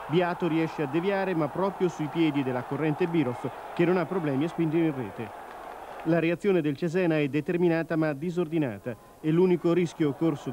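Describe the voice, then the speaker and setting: A man's voice: a little high, highs cut off. A man reading a scripted football match report for television. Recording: TV broadcast with clear stadium noise.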